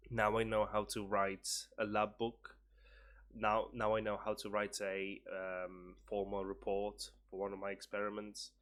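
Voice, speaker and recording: Earnest young man with a low voice. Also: monotone, unhurried, a bit bright. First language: Polish. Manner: earnest, upbeat, with fillers and restarts. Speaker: vlogger, university student. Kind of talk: casual talk to camera.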